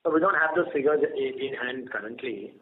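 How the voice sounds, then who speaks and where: A man speaking as a company executive answering investor questions on an earnings call, with a hesitant, halting delivery. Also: medium pitch. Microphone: telephone conference line. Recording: tinny phone line with clear noise.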